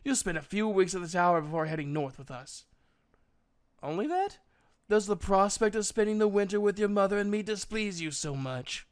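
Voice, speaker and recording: A man's voice: high-pitched, expressive, normal speed, clear, resonant tone, pitched above the performer's own voice. A man voicing a proud, sardonic male elf with a fierce temper and a commanding way of talking, in an audiobook. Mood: wry.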